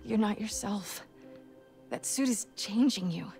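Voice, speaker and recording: A woman's voice: mid-range voice, measured pace, lightly acted. An actress playing a worried young woman confronting someone in a dramatic video game. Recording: game audio under loud music.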